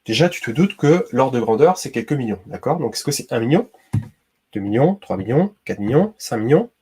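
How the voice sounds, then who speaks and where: Man, husky voice, casual quiz host, chatty, talks to the viewer. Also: mid-range voice, sing-song, brisk, mellow. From Brittany, France. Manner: chatty, addresses viewer as tu, many hein and d'accord. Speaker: YouTube host.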